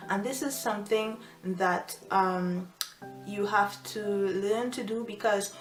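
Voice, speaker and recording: A woman's voice: medium pitch, steady tone, takes it slowly. A young woman from Trinidad with a Trinidadian accent, giving earnest, reflective advice in a casual vlog, with frequent fillers. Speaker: vlogger. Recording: echoey room with faint music. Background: soft piano music.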